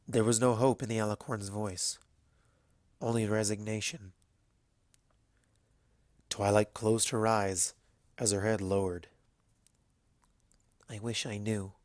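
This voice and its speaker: A man's voice: lowish voice, flat delivery, a little slow, long pauses, darkish tone, dry recording. A man reading scripted storybook narration as the narrator.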